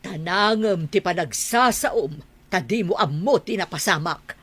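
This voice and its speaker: A woman's voice: fairly low pitch, lively intonation, unhurried, fairly bright tone, slightly rough, dry recording, full character voice. An actress playing a sarcastic, nosy middle-aged woman in a radio drama. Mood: defensive. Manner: mocking, bantering. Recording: crowd chatter behind.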